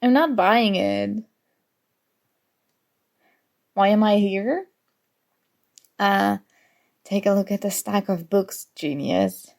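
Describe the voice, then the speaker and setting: A young woman playing a sarcastic, competitive college student in an ASMR roleplay, teasing and exasperated, with a creaky voice. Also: medium pitch, takes it slowly, darkish tone. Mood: sarcastic dismissive. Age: young adult. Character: snarky competitive college student, grudgingly fond academic rival. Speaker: audio roleplay voice actor.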